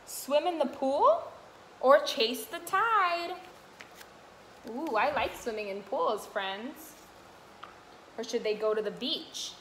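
A young woman speaks slowly to toddlers in a sing-song teaching manner, as if at storytime. Her pacing is unhurried, and she is recorded in an echoey classroom with faint room noise behind her. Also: sits high, animated, fairly bright tone. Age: young adult. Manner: sing-song, addressing small children with simple questions. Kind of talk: children's read-aloud and teaching to camera. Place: indoor classroom, sitting on the floor.

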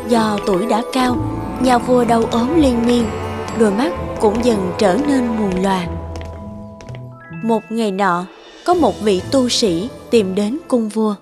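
A woman's voice: a little high, takes it slowly, over music. A woman narrator reading a scripted fairy tale in storybook style.

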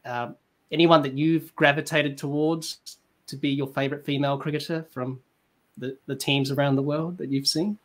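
A man's voice: fairly high pitch, steady tone, normal speed. A young man hosting a casual interview over a video call, agreeable as he puts his questions. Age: young adult. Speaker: podcast host, interviewer. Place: indoor room at home.

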